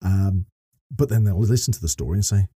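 A man's voice: low-pitched, fairly quick, mellow, breathy edge, no echo, studio quality. A middle-aged man speaking earnestly as a podcast guest, explaining his work as a voice actor and narrator in wordy, often rephrased sentences.

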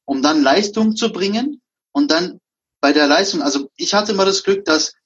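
A man from Berlin talks through his career in relaxed conversation, slipping into the voices of the people he quotes. His voice sits a bit high and has a husky edge. The recording sounds dull and lo-fi. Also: normal speed. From Berlin, Germany. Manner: anecdotal, acts out dialogue. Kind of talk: casual conversation.

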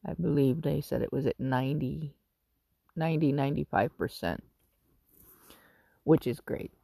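Woman in her fifties, raspy, gravelly, rambling with ums, very dry close sound. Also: deep voice, moderate pace, mellow, no echo. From Northern California. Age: 50s. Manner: rambling, with frequent ums and self-corrections. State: raspy from wildfire smoke. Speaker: podcast host. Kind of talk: casual personal monologue.

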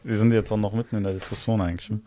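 A man's voice: fairly low pitch, fairly even intonation, rapid-fire, background noise. A young man co-hosting a casual podcast, talking in slangy, argumentative bursts and often checking whether he is understood. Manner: slangy, argumentative, frequent "weißt du, was ich meine". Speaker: podcast co-host.

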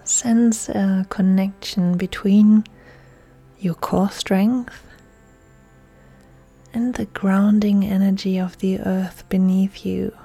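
A woman guides a relaxation meditation as a yoga instructor. Her voice is soft, breathy and mellow, often close to a whisper. She soothes with very drawn-out, unhurried phrasing over faint music. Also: mid-range voice, level tone. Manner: soothing, hushed, often near-whispered delivery.

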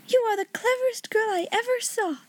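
A woman voicing an excitable, admiring and unsure young schoolgirl in a storybook, gushing and childlike. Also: sits high, normal speed, pitched above the performer's own voice, natural voice. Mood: delighted.

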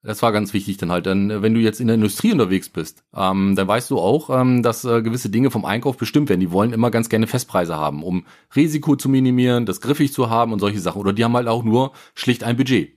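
A man's voice: on the low side, fast, hardly any pauses, a little mellow. A man, a startup founder telling his story in a podcast interview, rambling with many fillers.

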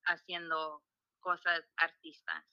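Very bright, halting woman in her forties. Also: sits low, level tone, clean tone, highs cut off. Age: 40s. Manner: halting, restarts, switches between Spanish and English. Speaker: guest. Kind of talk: casual interview chat.

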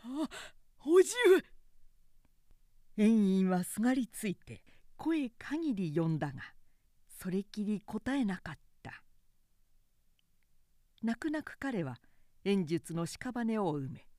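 A woman reads literature as a professional narrator. Her delivery is dramatic and measured, with animated intonation and careful enunciation, in a dry, close recording. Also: medium pitch, no echo. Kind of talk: dramatic literary audiobook reading.

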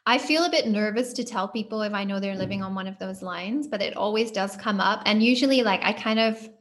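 A woman's voice: mid-range voice, brisk, fairly bright tone. A woman hosting a casual podcast interview, talking in a friendly way with fillers like "kind of" and polite thanks to her guest. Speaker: podcast host.

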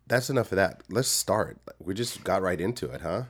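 Man, hoarse, deep, animated pitch, casual podcast chat, playful. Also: moderate pace. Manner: playful, rambling, jokey. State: hoarse from illness. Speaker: podcast co-host.

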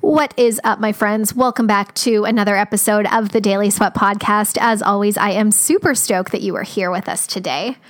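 Casting: woman podcast host, scratchy voice, bubbly and chatty, mid pitch. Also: fairly even intonation, moderate pace, hardly any pauses. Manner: upbeat, chatty, lots of fillers. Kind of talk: casual podcast intro and interview.

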